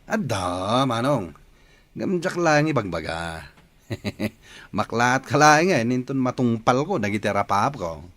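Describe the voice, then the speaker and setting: A man's voice: medium pitch, expressive, a little slow, fairly bright tone, no echo. Guy playing an eager scheming ilocano villager, voice jumps all over, radio drama style. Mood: earnest, teasing.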